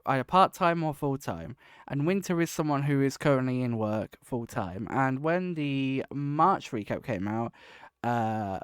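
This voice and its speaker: Male podcast host, casual and meandering, slightly sniffly; crisp voice, dead-dry close mic. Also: mid-range voice, no room sound. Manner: rambling, self-correcting, warmly grateful. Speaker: podcast host and project lead. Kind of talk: casual solo podcast talk.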